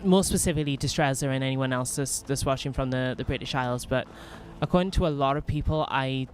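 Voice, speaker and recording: A young man from Wales with a softened Welsh accent, streaming a role-playing game in rambling, self-mocking chat full of restarts. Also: mid-range voice, quick pace, slight background noise. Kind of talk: casual in-character role-play commentary.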